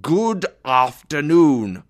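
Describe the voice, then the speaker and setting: A man's voice: sits low, animated, a little slow, sharp tone, full character voice. Man acting a curt, scornful old miser. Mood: curt, dismissive. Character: grumpy, miserly, bitter older uncle, scornful of cheer.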